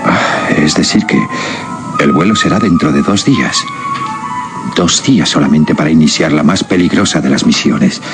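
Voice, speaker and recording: An actor playing a serious, methodical astronaut captain in a radio drama monologue, measured and deliberate. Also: male voice, rumbling low. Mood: focused, grave. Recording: radio drama with music behind.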